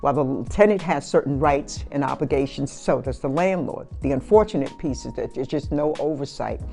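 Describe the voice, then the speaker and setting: A woman's voice: a bass voice, brisk, vocal fry, dark tone, faint music behind. A middle-aged woman tenant speaking in a documentary interview, assured and explanatory. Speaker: tenant advocate. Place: indoor room.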